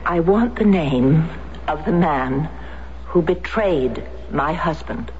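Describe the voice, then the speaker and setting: An actress playing a middle-aged, determined American war widow in a radio drama, speaking earnestly and insistently. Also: female voice, low-pitched, animated, takes it slowly, darkish tone. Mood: earnest, intent. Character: determined American war widow seeking her husband's betrayer. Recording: dull, noisy vintage radio recording.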